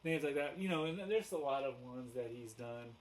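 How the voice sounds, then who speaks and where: A man hosting a casual, unscripted fan commentary video, halting and rambling as he goes, often correcting himself. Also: medium pitch, animated, rough recording. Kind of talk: casual unscripted chat to camera. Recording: noticeable background noise.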